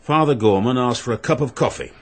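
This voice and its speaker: An actor playing a thoughtful police inspector, measured and dryly humorous, in a radio drama. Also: male voice, mid-range voice, animated, close to an everyday voice.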